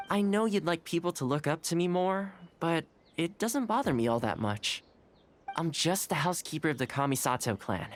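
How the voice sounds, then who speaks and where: Composed young housekeeper with lively pitch. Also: male voice, slight background noise. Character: calm, shrewd young male housekeeper of a samurai clan. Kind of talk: video game voice acting.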